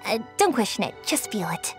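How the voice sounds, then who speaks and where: A woman's voice: mid-range voice, sing-song, brisk, loud music behind the voice, lightly acted. A woman voicing a cheerful young fireworks-maker who is bubbly, playful and teasing, in video game voice acting. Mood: upbeat.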